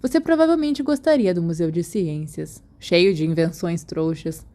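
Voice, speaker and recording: A woman's voice: on the low side, normal speed, warm tone. Brazilian woman reading a story aloud. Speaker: podfic narrator. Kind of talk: scripted audiobook narration of fan fiction letters.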